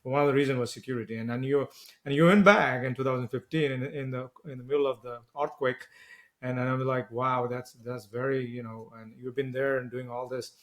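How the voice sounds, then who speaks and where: A man's voice: medium pitch, steady tone, fairly quick. A man from Nepal hosting a podcast interview, rambling and repeating words as he frames his questions. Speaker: podcast host.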